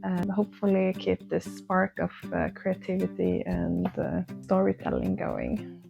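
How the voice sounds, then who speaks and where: A woman's voice: on the low side, big pitch swings, unhurried, vocal fry, muffled recording. A young woman with a Swedish accent, vlogging about her art, hesitant, with many fillers. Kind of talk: casual vlog talk to camera. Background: soft guitar music.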